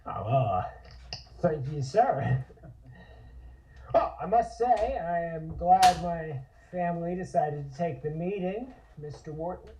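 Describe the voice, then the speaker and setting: A man acting a guarded, courteous 1920s family-business envoy in a table read, measured and wary. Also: fairly high pitch, expressive, warm tone, a little hiss or hum. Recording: dull, lo-fi remote-stream audio.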